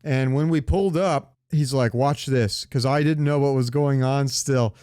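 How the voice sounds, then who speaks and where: A man's voice: mid-range voice, a little slow, darkish tone, clear, resonant tone, no room sound, studio quality. A man co-hosting a podcast, joking and telling stories in casual, sweary slang. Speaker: podcast co-host. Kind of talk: casual chat, comic storytelling.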